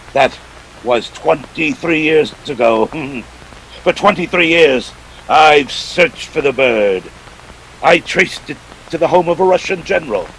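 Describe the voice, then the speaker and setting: A man's voice: fairly high pitch, fairly bright tone, slight background noise, rough recording. A man playing a suave, ruthless treasure-hunting villain in a radio play, with pompous, courtly speech and sly chuckles. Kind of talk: 1940s radio play acting.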